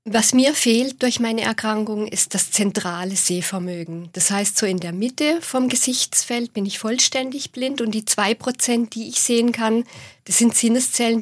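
A woman in her forties with a Swabian accent, a guest in a radio interview, explaining things calmly and in measured steps. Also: a little high, dry recording, clean studio sound. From southwest Germany. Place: radio studio.

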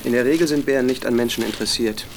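An actor playing a guarded, defensive husband in a naturalistic radio drama, terse and matter-of-fact. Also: male voice, medium pitch, racing, slight background noise, lightly acted.

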